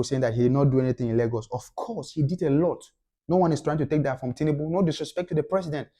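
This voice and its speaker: Young Nigerian man, emphatic opinion rant, slightly high pitch, mellow tone. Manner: passionate, emphatic, repeats points for stress. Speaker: commentator.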